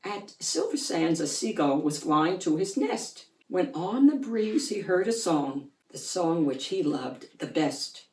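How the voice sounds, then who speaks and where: A woman's voice: fairly low pitch, lively intonation, measured pace, few pauses, a little mellow, recorded from a distance. A woman reads a rhyming picture book with theatrical expression. She takes her time over each phrase, and her voice carries a roomy echo from a little way off the microphone. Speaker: children's book reader and channel host. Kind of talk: expressive picture book read-aloud in rhyme.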